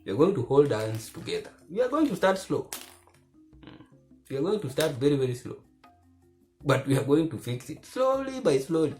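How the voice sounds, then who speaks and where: A man giving earnest, preachy commentary to the camera, keeping his voice low because he is recording at home at night. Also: very animated, normal speed, pauses often, darkish tone, soft background music. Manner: earnest and preachy, repeats phrases for emphasis. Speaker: vlogger.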